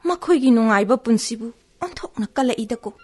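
A woman's voice: a little high, lively intonation, very fast, darkish tone, slightly rough. A woman playing a wistful, self-pitying talking fruit tree in a radio play, with a dramatic, complaining manner. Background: faint music.

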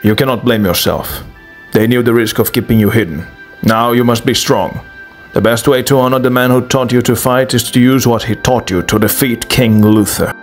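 A man plays an older knight mentor in a fantasy game story. He is grave and loyal, speaking formally at a middling pitch over faint music. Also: steady tone, moderate pace, natural voice. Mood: counseling. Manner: formal, avoids contractions. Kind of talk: fan dub voice acting.

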